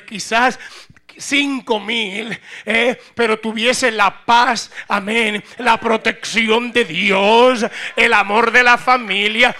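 A male pastor preaching a sermon, moving between plain conversational talk and loud, shouted passages. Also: very high voice.

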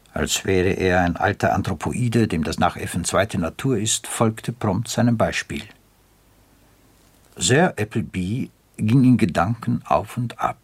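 A man narrating a radio drama in a literary, dryly ironic, deliberate storytelling manner. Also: sits low, breathy edge, dry recording.

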